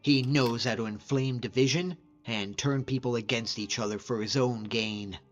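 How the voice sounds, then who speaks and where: A man's voice: mid-range voice, moderate pace, soft background music, natural voice. Man acting plain-spoken hunter, slightly muffled game audio. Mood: earnest. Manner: plain-spoken, informal, reflective. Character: hardy male hunter and frost mage, easygoing, sincere, reflective. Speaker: companion character in a fantasy game mod. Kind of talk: conversational scripted game character dialogue.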